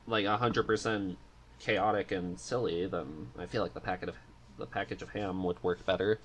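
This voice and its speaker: A man's voice: deep voice, steady tone, normal speed, fairly bright tone, slight background noise, poor audio. A man hosting a podcast, chatting casually with a guest in a laid-back, dryly playful way. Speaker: podcast host. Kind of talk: casual interview chat.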